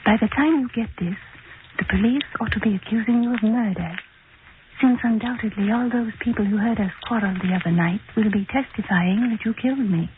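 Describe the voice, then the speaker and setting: A woman plays a weary, despairing girl with a fairly high voice. Her delivery is measured and resigned, in the style of a 1940s radio play. Clear hiss sits over the thin, narrow recording. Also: close to an everyday voice. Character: despairing, world-weary young woman reading her farewell letter. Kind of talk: 1940s radio drama acting.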